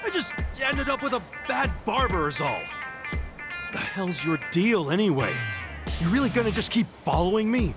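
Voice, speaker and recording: A brash hot-tempered ex-con. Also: male voice, high-pitched, very animated, normal speed, music in the background. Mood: irritated. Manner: gruff, curt, defensive. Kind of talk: dubbed game cutscene acting.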